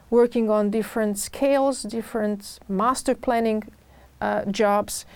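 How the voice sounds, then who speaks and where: A middle-aged woman with a Serbian accent speaks in a fairly high voice, recorded close and dry. She gives interview answers in a halting way, often circling back over a word before she moves on. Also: a little mellow, dry recording. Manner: hesitant, searching for words, repeats phrases. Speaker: podcast guest, urban designer. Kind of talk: interview answers about own career.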